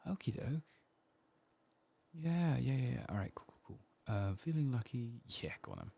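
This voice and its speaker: A man giving soft-spoken ASMR commentary as he plays a game, quiet and relaxed, murmuring to himself. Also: mid-range voice, normal speed, vocal fry, husky. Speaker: gaming ASMR host.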